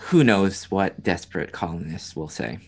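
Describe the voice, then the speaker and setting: A man with a fairly low voice plays a tabletop roleplaying game. He speaks in character, talking the situation through with the rest of the group. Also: expressive, normal speed, gravelly, darkish tone.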